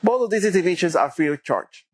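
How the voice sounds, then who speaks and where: A young man reads a scripted news package. His voice sits somewhat high, with steady reporter delivery. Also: fast talker. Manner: read from script.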